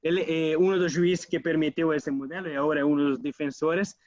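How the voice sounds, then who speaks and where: An Italian man speaking as an interview guest, halting and restarting as he mixes Portuguese with Spanish. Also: a little high, steady tone, a little mellow, no echo, highs cut off. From Italy. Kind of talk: casual interview over a call.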